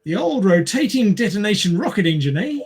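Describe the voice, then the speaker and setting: A man's voice: high-pitched, big pitch swings, brisk, breathy edge. A man with an Australian accent hosting a casual quiz among friends, reading out questions and chatting. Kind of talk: casual group quiz chat.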